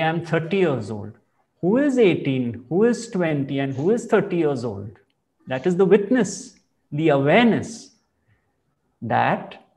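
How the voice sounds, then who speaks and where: A man speaking as a spiritual teacher, explaining ideas in slow, measured and reflective phrasing. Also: on the high side, a little mellow, low-quality recording. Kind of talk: calm explanatory spiritual talk.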